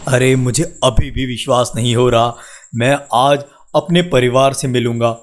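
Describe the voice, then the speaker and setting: A man's voice: mid-range voice, steady tone, measured pace, slight background noise, natural voice. Man playing earnest, weary village labourer. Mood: longing, hopeful. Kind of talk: Hindi cartoon story dialogue.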